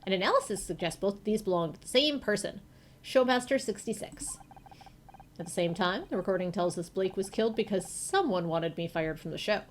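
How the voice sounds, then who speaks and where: A woman voicing an analytical robot sidekick in a visual novel, measured and exact as it lays out data. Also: mid-range voice, animated, a little hiss or hum, lightly acted.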